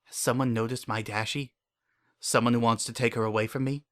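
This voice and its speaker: A man's voice: medium pitch, fairly even intonation, a little fast, natural voice. A man playing a paranoid, obsessive father in a dramatic horror fan fiction reading, with an intense, brooding and repetitive way of talking. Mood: uneasy, suspicious. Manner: intense, repetitive, brooding, swinging between hushed and frantic. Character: paranoid, obsessive, unhinged father protecting his pony daughter.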